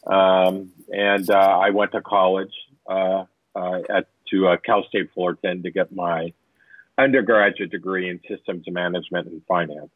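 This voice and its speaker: A man's voice: sits low, little pitch movement, normal speed, no echo. A man in his fifties from Southern California, a tech executive answering interview questions, rambling with many fillers. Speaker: guest, tech company executive. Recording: tinny phone-line sound.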